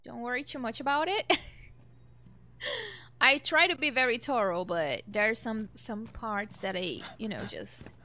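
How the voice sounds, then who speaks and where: A woman's voice: fairly high pitch, animated, measured pace. A young woman giving casual, reactive let's play commentary, exclaiming and swearing as she plays. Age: young adult. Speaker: streamer playing a video game. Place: indoor room at home. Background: loud video game music and sound.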